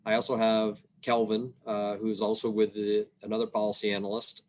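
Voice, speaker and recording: A man giving an informal, explanatory presentation on a video call, plain-spoken and somewhat halting. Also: on the low side, monotone, darkish tone, a little hiss or hum. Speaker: state revenue agency policy presenter. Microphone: video call microphone.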